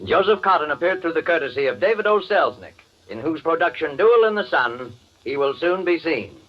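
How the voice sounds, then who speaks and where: A man's voice: a little high, animated, a little fast. A man reading scripted closing announcements as a 1940s radio announcer. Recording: muffled 1940s radio recording with music.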